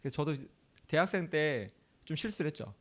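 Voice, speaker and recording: A man's voice: fairly high pitch, animated, a little fast, a bit bright. A male pastor from Chungcheong, South Korea, preaching a sermon in a conversational way. He often asks the audience questions and laughs easily.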